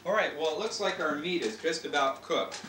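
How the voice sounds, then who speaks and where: A man's voice: on the high side, fairly quick. An American man in his thirties playfully presents a casual cooking demonstration, explaining it step by step as a father hosting a home cooking show. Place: home kitchen, indoors. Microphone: camcorder mic a few feet away. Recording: noisy, echoey home camcorder audio.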